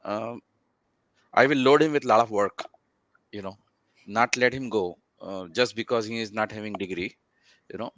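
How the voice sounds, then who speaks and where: A man speaking as a panelist in a conversational, halting way, often repeating filler phrases. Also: mid-range voice, pushed and bright, no echo.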